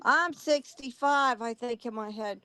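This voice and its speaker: A woman in her sixties hosts a relaxed live chat from a video call, talking at an unhurried, easy pace. Her voice sits a little high, and she tells family stories with frequent laughs. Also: clean tone, no echo. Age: 60s. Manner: chatty storyteller, quick to laugh. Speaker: host. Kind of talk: casual chat.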